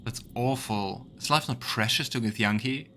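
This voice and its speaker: A man's voice: mid-range voice, normal speed, pushed and bright, slight background noise. A man hosting a let's play, commenting casually and reading dialogue choices aloud.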